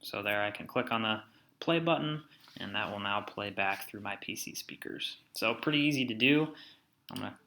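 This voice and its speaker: A man narrates a screen-share tutorial in a casual, step-by-step manner. His voice sits on the low side and has a strong gravelly fry. The tone is bright and crisp-edged as he instructs the viewer informally. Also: moderate pace. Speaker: software tutorial presenter. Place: voice-over for a screen recording.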